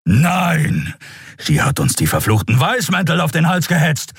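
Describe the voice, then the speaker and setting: A man's voice: a little high, wildly swooping pitch, measured pace, creaky, husky, dry recording, studio quality, pitched above the performer's own voice, full character voice. A man voicing a huge, furious clawed beast-man in an audiobook, growling and roaring threats. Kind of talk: dramatic audiobook character voice.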